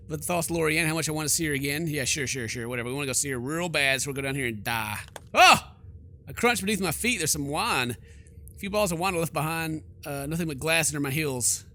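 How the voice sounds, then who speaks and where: A man's voice: fairly high pitch, sharp tone, slight background noise. A man hosting a let's play, reading game text aloud and commenting with jokey, theatrical reactions. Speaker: gaming video host.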